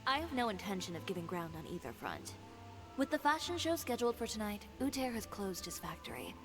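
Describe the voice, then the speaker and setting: An actress playing a composed, confident fashion designer in a video game, with a measured, matter-of-fact delivery. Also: female voice, mid-range voice, very animated, lightly acted. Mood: determined. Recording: game music behind the voice.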